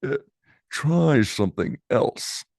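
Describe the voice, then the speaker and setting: Man acting a slow, dismissive contest judge. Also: medium pitch, big pitch swings, vocal fry, darkish tone, no room sound, studio quality, full character voice. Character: dismissive competition judges, bluntly turning hopefuls away.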